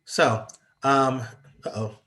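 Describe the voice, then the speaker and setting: A man's voice: medium pitch, fairly even intonation, takes it slowly, a bit bright. A congested man gives an online presentation as a guest speaker, talking hesitantly with many fillers. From United States. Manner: hesitant, lots of ums and uhs. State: congested from allergies. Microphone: video call.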